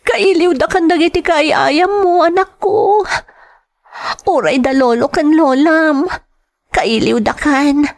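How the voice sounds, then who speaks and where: A very high-pitched woman with an Ilocano accent narrates a family drama and speaks as an anxious mother. Her delivery is soft and worried and often turns tearful. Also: slow pace.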